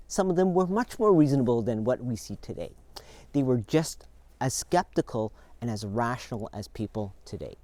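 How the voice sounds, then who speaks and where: Warm earnest man addressing his church. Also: mid-range voice, dry recording. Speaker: church video host. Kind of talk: addressing a congregation by video.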